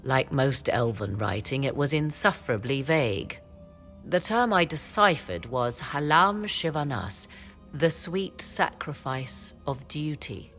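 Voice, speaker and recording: An actress playing a proud, guarded and ambitious sorceress in a fantasy game, speaking in formal, measured, haughty phrases. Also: female voice, a bass voice, big pitch swings, a bit bright, soft background music, full character voice. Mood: cool, resolute. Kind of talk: dramatic scripted game dialogue.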